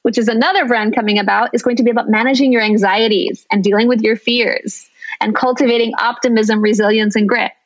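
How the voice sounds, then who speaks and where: Middle-aged woman guest, Venezuelan-American upbringing, lively, fairly high voice, slightly dull recording. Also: brisk. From Venezuela and the United States. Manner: animated, laughs often, high bursts when quoting others. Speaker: guest, company founder and illustrator. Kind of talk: conversational interview.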